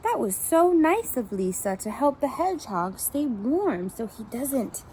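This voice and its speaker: A woman preschool teacher reading a picture book aloud to young children, in an animated storytelling style with asides to the listeners. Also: sits high, a little hiss or hum, rough recording. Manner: pauses to comment and question the children.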